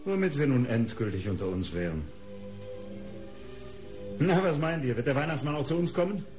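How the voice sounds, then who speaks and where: A man's voice: mid-range voice, sing-song, moderate pace, over music, natural voice. An actor playing a wry male house guest in a German radio murder mystery, with a teasing way of talking. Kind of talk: German radio crime drama acting.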